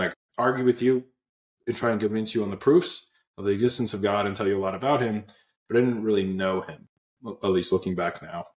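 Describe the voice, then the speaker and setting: Deep-voiced young man on a video call. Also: echoey room. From Pennsylvania, USA. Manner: earnest, reflective, many fillers and restarts. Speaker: guest, app co-founder. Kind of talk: casual interview storytelling. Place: small room indoors. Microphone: earbud headset on video call.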